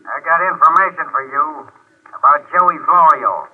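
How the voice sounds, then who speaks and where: A shady anonymous phone tipster. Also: male voice, on the high side, lively intonation, normal speed, noisy background. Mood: evasive. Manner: curt, evasive, gruff street talk. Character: anonymous male tipster disguising his voice. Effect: telephone. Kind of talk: 1950s radio drama acting. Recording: tinny phone-line 1950s radio.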